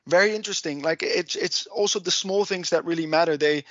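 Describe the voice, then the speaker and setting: Dutch-accented man, halting podcast talk. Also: a little high, fairly bright tone, dry recording, muffled recording. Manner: halting, many restarts and fillers. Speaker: project and policy coordinator. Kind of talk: conversational podcast explanation.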